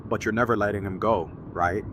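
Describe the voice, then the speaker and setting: A man's voice: lowish voice, steady tone, fairly quick, background noise, close to an everyday voice. A man acting an easygoing, friendly buddy with casual, slangy talk in an audio drama. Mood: casual, candid. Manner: casual, slangy, laid-back.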